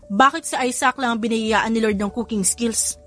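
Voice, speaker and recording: A woman's voice: on the high side, steady tone, moderate pace, a bit bright, faint music behind. A woman voicing a charming, clumsy young father in a romance audio drama, apologetic and sweetly sincere. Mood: sheepish.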